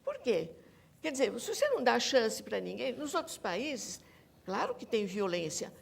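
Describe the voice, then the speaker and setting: Woman, Brazilian Portuguese accent, highish pitch, creaky fry, emphatic, repetitive, academic interview discussion. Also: big pitch swings, moderate pace. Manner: emphatic, insistent, repeats points. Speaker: academic guest, researcher and author.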